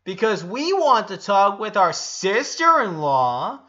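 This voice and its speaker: Man as a bossy, excited teenage big sister. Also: high voice, slow pace, muffled recording, voice pushed up, full character voice. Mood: giddy, commanding. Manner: commanding, emphatic.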